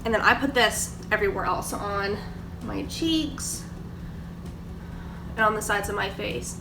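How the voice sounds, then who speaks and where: A woman's voice: mid-range voice, unhurried. A young woman, stuffy from a cold, chatting casually through her makeup routine at her kitchen table. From Georgia, US. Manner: chatty, filler-heavy, explaining products. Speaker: beauty vlogger. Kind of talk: casual get-ready-with-me chat. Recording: echoey room, distant mic, background noise.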